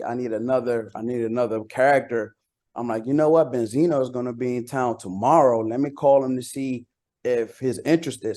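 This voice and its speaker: A man's voice: mid-range voice, warm tone. A man talking casually as an interview guest, a rapper using slang and repeating phrases as he tells anecdotes.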